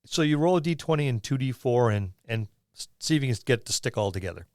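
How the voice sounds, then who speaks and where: A male podcast host with a medium-pitched voice chats casually about tabletop role-playing. He rambles through examples, and the sound is dry with no room echo. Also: expressive, fast talker, darkish tone. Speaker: podcast host and experienced game master.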